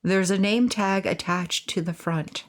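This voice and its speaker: Slow-paced woman doing let's play commentary. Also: medium pitch, steady tone, a little hiss or hum. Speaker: game streamer. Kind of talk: let's play commentary and reading game text aloud.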